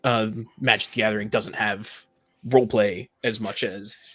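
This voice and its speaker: A man's voice: lowish voice, fairly even intonation, moderate pace, pushed and bright, dry recording, soft background music. A man casually debating tabletop games as a panelist on a podcast.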